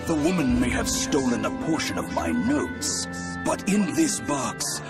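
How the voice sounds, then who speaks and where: A commanding spectral merchant lord from a fantasy game, talks in a grand, drawn-out way. His pitch rises and falls theatrically at a slow pace, over loud music. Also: big pitch swings. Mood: grandly pleased. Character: ghostly male merchant master, grandly pleased and commanding. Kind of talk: dramatic video game character acting.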